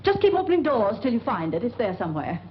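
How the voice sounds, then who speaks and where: Dithering friendly landlady, high swooping voice, 1940s film, muffled hissy sound. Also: sing-song, fast talker. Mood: flustered, kindly. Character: scatterbrained, kindly, chattering landlady.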